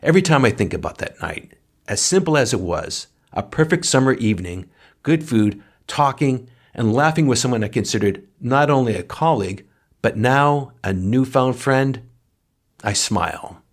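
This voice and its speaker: Male podcast co-host, medium pitch, solemn and sincere delivery of read-aloud tributes. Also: expressive, normal speed. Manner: earnest, solemn.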